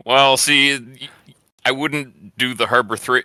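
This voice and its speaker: A man's voice: mid-range voice, steady tone, slow, bright, forceful tone, no echo. A man co-hosting a casual movie commentary podcast, joking and laughing often. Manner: laughs often, rambling jokes. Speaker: podcast co-host.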